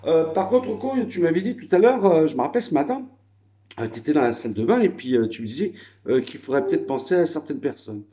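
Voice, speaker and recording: A man's voice: a little high, sing-song, fast, dark tone, lo-fi sound. A man from France chatting casually at his country home on a phone video, rambling with many fillers. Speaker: vlogger. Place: house in the countryside.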